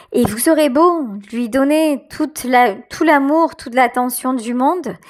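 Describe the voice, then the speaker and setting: A woman giving an unscripted tarot reading directly to the listener, halting and repeating her phrases. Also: high voice.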